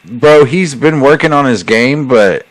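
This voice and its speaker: A man's voice: medium pitch, expressive, unhurried, no echo, low-quality recording. A young man in casual podcast chat, explaining things in a laid-back way and calling his friend bro and dude. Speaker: podcast host.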